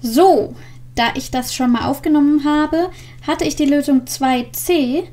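A young woman hosts a casual video at a desk microphone. Her voice is high and she takes her time, with a slow, informal delivery. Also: darkish tone. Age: young adult. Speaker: video host. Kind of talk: casual vlog with story reading. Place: indoors, small room with green screen. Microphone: desk microphone with pop filter.

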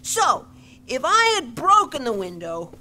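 Bright, very high sly kid. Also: female voice, wildly swooping pitch, heavily acted. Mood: sly, pleading. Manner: pushy, fast-talking logic. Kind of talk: comic audio drama.

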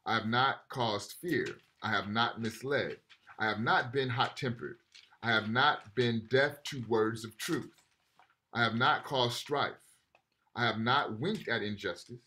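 A man's voice: medium pitch, unhurried, bright, forceful tone. A man teaching a university class over a video call, talking casually and often checking in with "right?" while reading texts aloud. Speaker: lecturer.

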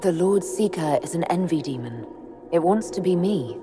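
A woman's voice: fairly low pitch, expressive, normal speed, lightly acted. A woman playing a guarded, defiant elf hero in a video game, with a dry, firm manner. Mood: wary. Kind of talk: video game cutscene acting. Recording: music behind.

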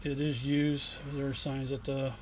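A middle-aged man giving a casual, unscripted product demonstration in a plainspoken, halting way. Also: mid-range voice, flat delivery, a little mellow. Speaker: online seller demonstrating used radio equipment. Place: indoor home workshop bench. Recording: room noise, homemade camera recording.

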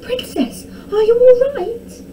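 A woman's voice: very high-pitched, slow pace, warm tone, slight background noise, pitched above the performer's own voice. A woman voicing a flustered, anxious townsman in a children's storybook, puzzled and easily tongue-tied. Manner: stammering, anxious.

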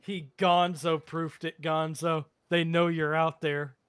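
A man's voice: fairly high pitch, little pitch movement, slow, a bit bright, dry recording, studio quality. A man giving casual, speculative commentary as a co-host, with a wry, teasing manner. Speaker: reaction show co-host. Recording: clear background noise. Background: audio of the horror video being watched.

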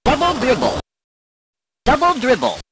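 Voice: huge pitch swings, measured pace, fairly bright tone, slightly rough, exaggerated voice. An actor voicing a retro sports video game announcer, heard as a crude, sped-up digitized sample. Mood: announcing. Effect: crude low-bit digitized sample, sped up. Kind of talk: shouted-out title announcement from an old video game. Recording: crunchy lo-fi 8-bit console sample.

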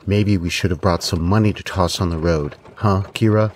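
A lowish male voice from an actor plays a wry agent in an audio drama. He talks in a chummy way over faint noise. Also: fairly even intonation, moderate pace, close to an everyday voice.

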